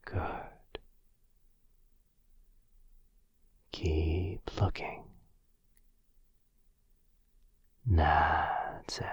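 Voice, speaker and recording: A gentle, protective male vampire, acted by a man for an ASMR audio roleplay. His voice sits very low and is heavily breathy and husky. He speaks slowly and softly, with hushed, soothing pauses. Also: dull audio, heavily acted. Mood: hypnotic, soothing. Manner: slow, hushed, halting, soothing delivery.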